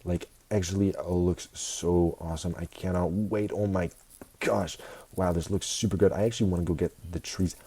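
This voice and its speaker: Stuffy-nosed man excitedly narrating gameplay. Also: deep voice, moderate pace, husky. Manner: excitable, repeats words, keeps saying guys. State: stuffy nose from a cold. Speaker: gaming video host. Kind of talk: casual Minecraft commentary.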